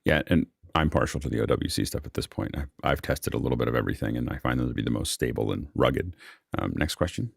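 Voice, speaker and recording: Very deep, creaky-voiced male host. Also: fast talker, no room sound, studio quality. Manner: informal, explanatory. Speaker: host and moderator of a panel. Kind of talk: casual tech Q&A discussion.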